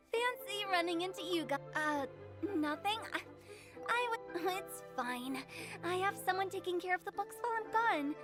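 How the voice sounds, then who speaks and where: Very high-pitched flustered girl. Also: very animated, a little slow, over music, exaggerated voice. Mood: nervous, evasive. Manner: hesitant, flustered. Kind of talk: video game character acting.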